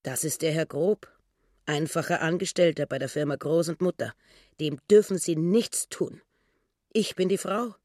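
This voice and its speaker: A man's voice: on the high side, moderate pace, darkish tone, no echo, studio quality, lightly acted. A man acting in a radio drama, voicing a pious woman's simple, indignant thoughts in an emphatic, naive, moralizing way. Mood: pleading, indignant.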